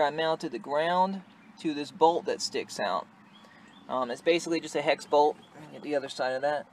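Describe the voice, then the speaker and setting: A man giving a casual, improvised how-to in a plain-spoken way, busy with his hands as he talks, recorded on a handheld device in a truck. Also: on the high side. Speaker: amateur video maker giving a how-to. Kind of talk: casual improvised tutorial talk. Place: in and around a pickup truck cab. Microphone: handheld phone or camera close to the work. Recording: noisy handheld recording, vehicle ambience.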